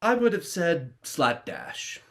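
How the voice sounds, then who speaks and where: Male actor as awkward, polite teen lead in a dark stage comedy: slower, halting, dry humor, very lively pitch. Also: creaky, exaggerated voice. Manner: hesitant, wry. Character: awkward, dryly polite, uneasy teenage boy. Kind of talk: dramatized livestream play reading.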